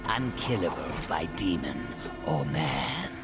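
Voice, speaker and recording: A man's voice: a bass voice, big pitch swings, slow pace, gravelly, over loud music, full character voice. An actor playing an ominous male demonic herald in a video game, speaking in a portentous, theatrical manner.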